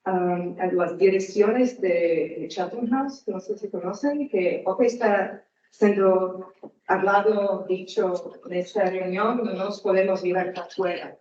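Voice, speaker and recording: Slow, hesitant woman with a Slovak accent. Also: medium pitch, darkish tone. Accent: Slovak, with Portuguese traces. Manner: hesitant, searching for words in a non-native language. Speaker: guest speaker. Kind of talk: conference talk. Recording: echoey hall, distant mic, muffled.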